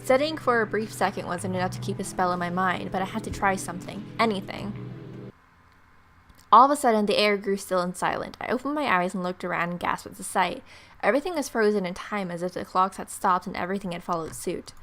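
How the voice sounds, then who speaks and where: A woman's voice: mid-range voice, fairly quick, slight background noise. A woman hosting a let's play, reading game narration aloud dramatically with casual asides, music behind her. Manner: dramatic, expressive read-aloud.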